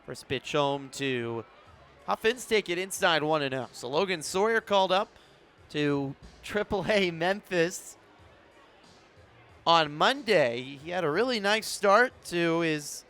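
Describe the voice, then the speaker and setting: A man's voice: fairly high pitch, lively intonation, takes it slowly, a bit bright, soft background music. A man doing live radio play-by-play commentary of a baseball game as the announcer. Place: broadcast position at an outdoor ballpark in the evening.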